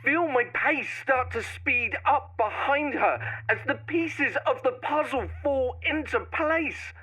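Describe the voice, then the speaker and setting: Man acting a slow, brooding horror writer. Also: extremely high pitch, sharp tone, slightly rough, dry recording, heavily acted, low-quality recording. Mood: rising menace. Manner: slow, deliberate, dramatic pauses and repetitions. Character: brooding male horror writer turning obsessive and menacing. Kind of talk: first-person scripted horror monologue.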